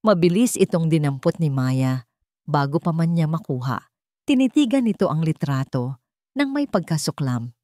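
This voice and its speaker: A woman with a low-pitched voice narrates a scripted dramatic story. The recording is dry and close, with no room sound. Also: lively intonation, normal speed, mellow, no echo.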